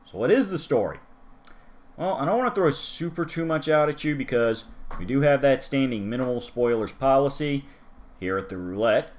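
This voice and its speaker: A man's voice: mid-range voice, lively intonation, normal speed, a little mellow. A man in a middle register talks through a manga review in a loose, rambling way. He drifts into side remarks to the viewer and circles back to his point. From United States. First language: English. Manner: chatty and rambling, with asides to the viewer. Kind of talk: casual solo review talk.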